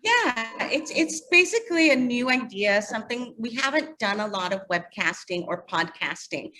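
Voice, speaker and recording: A woman answering interview questions in a casual, animated storytelling way. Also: a little high, normal speed, rough recording. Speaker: interview guest, magazine editor.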